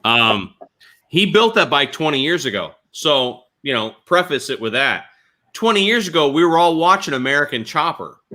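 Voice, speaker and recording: Man telling stories in a bright, forceful voice. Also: fairly high pitch, big pitch swings, normal speed. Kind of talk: casual storytelling chat.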